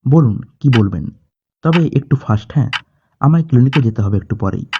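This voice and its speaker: A man's voice: mid-range voice, expressive, brisk, background noise, lightly acted. Man acting a guarded, curt middle-aged doctor, slightly muffled. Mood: brisk. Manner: clipped, formal, defensive. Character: curt, guarded doctor in his fifties, grieving a friend. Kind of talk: audio drama acting. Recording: slightly dull voice track under music. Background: background music.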